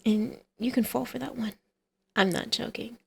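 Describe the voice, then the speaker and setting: A woman playing a teasing, flirtatious villainess in an intimate ASMR roleplay, with a creaky voice that often drops to a breathy whisper. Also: fairly high pitch, steady tone, moderate pace. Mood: seductive persuasion.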